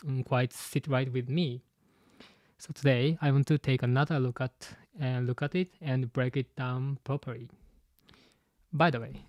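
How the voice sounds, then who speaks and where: Halting Japanese-accented man, dry sound. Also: medium pitch, darkish tone, dry recording. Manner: halting, full of "you know" fillers and restarts. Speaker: channel host and translator. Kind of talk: live-stream commentary.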